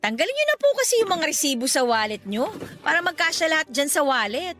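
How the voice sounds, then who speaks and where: Casting: teasing, affectionate daughter in radio drama; bright, high voice over soft music. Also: female voice, very animated, fast talker. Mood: cheerful, teasing. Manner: teasing, jokey. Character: cheeky, loving daughter, teasing her parents. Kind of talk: Tagalog radio drama acting. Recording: music behind the voice.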